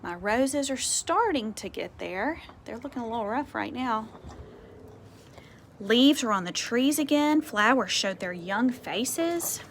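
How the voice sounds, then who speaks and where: A woman reading a picture book aloud to children outdoors, expressive, with conversational explanatory asides. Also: a little high, takes it slowly. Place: outdoors on a porch. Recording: faint outdoor ambient noise.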